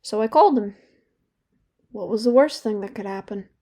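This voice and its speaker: An actress playing a young woman in therapy in an audio drama, bitter and regretful, confiding in a halting, sardonic, conversational way. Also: medium pitch, warm tone, natural voice. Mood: sardonic recounting. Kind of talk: naturalistic audio drama monologue.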